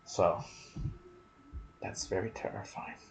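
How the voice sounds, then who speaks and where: A man narrating game lore in ASMR style, soft and breathy, often slipping toward a whisper, musing aloud in casual asides. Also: slow, slight background noise, rough recording. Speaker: gaming video narrator.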